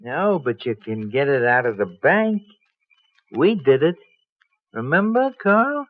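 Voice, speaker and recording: An actor playing a cocky, bullying ex-convict blackmailer in an old-time radio crime drama, domineering and needling. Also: male voice, medium pitch, sing-song, slow pace. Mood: needling, menacing. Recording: dull vintage radio recording.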